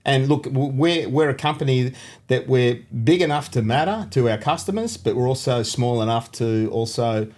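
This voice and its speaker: A middle-aged Australian man hosts a loose, casual podcast, his voice sitting at an ordinary pitch. He rambles through his points and keeps slipping teasing jokes at his guest. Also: expressive, moderate pace, darkish tone. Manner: jokey, rambling, teasing banter. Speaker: podcast host, courier company owner.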